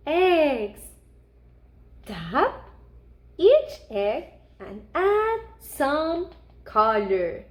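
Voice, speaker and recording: Storybook monster, voiced by a woman, friendly and mischievous; high, very slow delivery with big gasps, coaxing little listeners. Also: exaggerated voice. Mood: eager, coaxing. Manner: gasping, exclaiming, exaggerated wonder, coaxing young children. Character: Larry, excitable mischievous friendly picture-book monster. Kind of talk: animated children's read-aloud.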